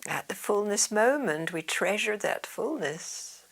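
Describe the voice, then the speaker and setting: A woman yoga teacher leading a guided meditation in a soft, soothing, half-whispered way. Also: medium pitch, animated, unhurried, vocal fry, husky.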